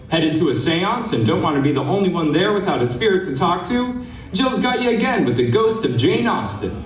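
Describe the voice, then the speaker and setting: Male announcer for live comedy, high animated voice, mock-commercial read, echoey distant pickup. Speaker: show announcer. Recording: echoey distant venue sound, faint noise.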